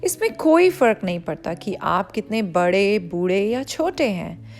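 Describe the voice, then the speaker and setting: Woman, children's storyteller, expressive read-aloud, unhurried pace, swooping intonation, explanatory, direct address to kids. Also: medium pitch. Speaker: storyteller reading a children's picture book aloud.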